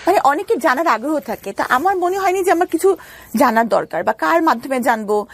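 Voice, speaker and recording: A Bangladeshi woman telling her personal story as an interview guest, halting and repeating phrases for emphasis. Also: sits high, lively intonation.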